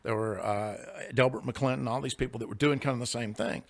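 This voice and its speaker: A man's voice: medium pitch, fairly even intonation, fairly quick, vocal fry, no echo. An older man with a big bluesy singer's voice, telling career anecdotes in a chatty interview with jokey asides, recorded in a studio. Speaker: singer-songwriter guest. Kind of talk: casual interview storytelling.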